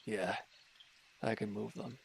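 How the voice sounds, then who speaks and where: A man playing a wounded, irritable villain in an audio roleplay, gruff and curt but grudgingly caring, with an exasperated, grumbling delivery. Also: lowish voice, steady tone, slow pace, a little hiss or hum, full character voice. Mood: pained, grumbling. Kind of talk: scripted audio roleplay acting.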